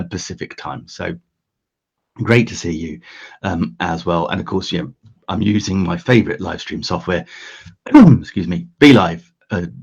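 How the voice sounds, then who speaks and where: A man from the UK hosting a casual how-to live stream, his voice croaky this early, chatty with lots of fillers and restarts. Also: deep voice, darkish tone, highs cut off. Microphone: desk USB microphone.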